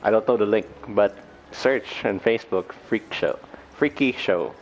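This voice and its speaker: A man's voice: on the low side, slight background noise, low-quality recording. A man giving casual livestream gameplay commentary, rambling and often correcting himself. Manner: halting, rambling, self-correcting. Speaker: livestream host. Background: faint game music.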